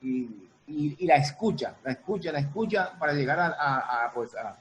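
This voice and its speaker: A woman's voice: sits low, expressive, normal speed, lo-fi sound. A woman in a casual panel discussion, speaking as a dance teacher and repeating phrases for emphasis. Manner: emphatic, repeats phrases, checks listeners follow. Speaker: dance teacher and theater artist. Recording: noisy room sound with ambience.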